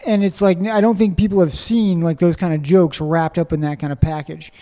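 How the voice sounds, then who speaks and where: Man, podcast host, high voice, rambling, excitable, fillers, long reverb. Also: brisk. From Springfield, Missouri, USA. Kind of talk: casual conversational interview.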